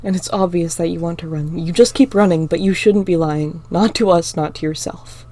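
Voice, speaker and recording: A woman voicing a calm young shapeshifter man who speaks quietly and teases a little. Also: fairly low pitch, moderate pace, lightly acted. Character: calm, dry young male werewolf-like shifter.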